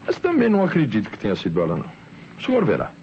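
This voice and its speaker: An actor playing an excitable Brazilian police officer in an old radio mystery, speaking emphatically and theatrically. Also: male voice, fairly low pitch, racing. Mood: urgent, intrigued. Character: excitable male police officer reporting to his inspector. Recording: muffled, noisy old radio recording.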